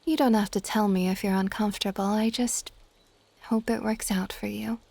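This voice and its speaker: A woman voicing an ancient, gentle and possessive dragon goddess in a soft, intimate, partly whispered ASMR roleplay. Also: medium pitch, steady tone, normal speed, gravelly, no room sound. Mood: gently probing. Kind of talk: ASMR romantic audio roleplay.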